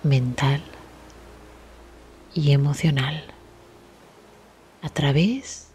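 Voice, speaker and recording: Slow, hushed woman guiding meditation. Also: sits low, a little hiss or hum. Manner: soothing, hushed, gentle delivery with long pauses. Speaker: guided meditation instructor. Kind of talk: guided relaxation meditation. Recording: steady ambient sea sound behind voice. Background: sea waves ambience.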